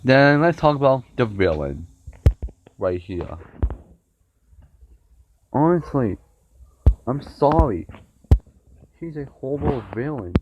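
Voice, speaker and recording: A young man with a sore throat talks through a fan review of a show, rambling, repeating himself and circling back. His voice sits at an ordinary middle pitch. The recording sounds dull and lo-fi under a faint hiss. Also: sing-song, slow, pauses often. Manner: rambling, repeats and restarts words. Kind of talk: casual fan review vlog.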